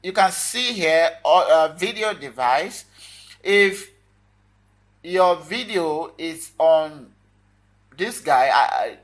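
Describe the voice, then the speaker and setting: A man hosting a casual software tutorial, talking viewers through the steps informally in short phrases. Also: on the high side, animated, takes it slowly, pauses often. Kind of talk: casual screen-recorded tutorial talk.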